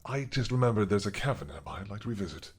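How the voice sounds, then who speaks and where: Doting old dragon king, played by a man; low and husky, possessive, gently teasing. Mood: playful. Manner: slow, tender, teasing. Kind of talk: audio roleplay acting.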